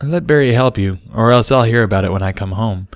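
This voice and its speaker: A man's voice: fairly low pitch, fairly quick, no echo, natural voice. A man voicing a sweet, motherly pegasus mare with an affectionate, playfully bossy manner for a storybook. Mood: teasing, affectionate.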